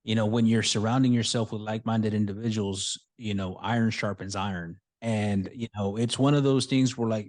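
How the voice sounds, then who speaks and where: A man's voice: medium pitch, a little mellow. Man hosting a chatty podcast. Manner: rambling, lots of fillers and restarts. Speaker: podcast host. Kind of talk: casual interview talk.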